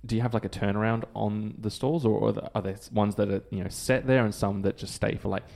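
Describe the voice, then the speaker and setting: A man's voice: lowish voice, steady tone, quick pace, gravelly, a little mellow. A man interviewing a guest in casual chat, eager and full of follow-up questions.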